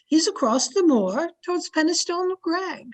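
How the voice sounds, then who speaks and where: Woman acting a high-voiced, fretful servant woman. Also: lively intonation, measured pace. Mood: anxious. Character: Ellen, fretful, blunt household servant woman narrating. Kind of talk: old-time radio drama acting and narration.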